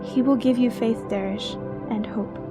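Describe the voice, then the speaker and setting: A woman acting a serene, earnest young woman in an audio drama, with a solemn, measured delivery. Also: fairly high pitch, steady tone, dull audio, music in the background, lightly acted. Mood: solemn reassurance.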